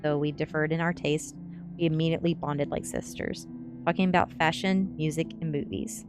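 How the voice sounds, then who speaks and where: A woman with a low-pitched voice narrates a story in an ASMR style. She reads from a novel as a streamer would for listeners, with faint music playing behind her. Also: fairly even intonation, moderate pace. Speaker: streamer reading a novel aloud.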